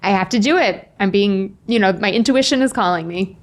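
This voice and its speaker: A woman in her forties with a medium-pitched voice talks as a podcast guest. She tells anecdotes casually and animatedly, voicing both sides of remembered conversations. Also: fairly quick. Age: 40s. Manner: animated, acts out quoted dialogue. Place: indoors, in-person podcast recording.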